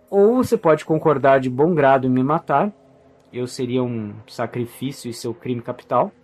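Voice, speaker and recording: A man's voice: mid-range voice, natural voice. A man acting an earnest, grave Brazilian mage hunter. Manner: grave, explanatory. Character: earnest male mage hunter of necromancers, scornful, protective. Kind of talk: audio drama acting.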